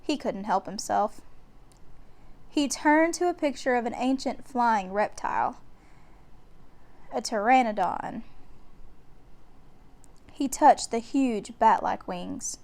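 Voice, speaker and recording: A woman reading a children's bedtime story aloud in a deliberate, expressive way. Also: fairly high pitch. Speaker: storybook reader.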